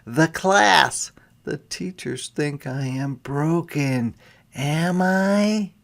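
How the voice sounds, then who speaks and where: Slow-paced man reading a storybook aloud. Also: fairly high pitch, creaky. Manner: deliberate, with quieter explanatory asides. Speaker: teacher reading a picture book aloud. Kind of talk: interactive read-aloud storytelling with explanatory asides.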